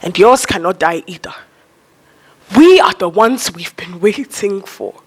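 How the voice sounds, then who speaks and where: A woman's voice: mid-range voice, animated, unhurried, slightly rough. A teenage girl playing a fervent freedom preacher in a theatrical, impassioned monologue. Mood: defiant. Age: teenage student. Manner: declamatory, impassioned. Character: fervent freedom preacher rallying an enslaved people.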